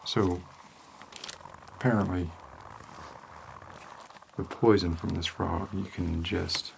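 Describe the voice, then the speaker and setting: A man plays a weary homicide detective in a hushed ASMR monologue. His voice is low and gravelly, and he rambles in a deadpan way. There is clear noise behind him. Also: slow, rough recording. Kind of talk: slow ASMR roleplay monologue.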